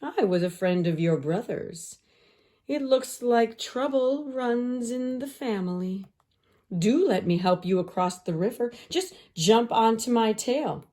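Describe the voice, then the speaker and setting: A woman's voice: fairly high pitch, lively intonation, warm tone, voice pushed up. A woman voicing a devious male fox who croons and coaxes with false sweetness in a storybook. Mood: coaxing. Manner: crooning, coaxing, drawn-out.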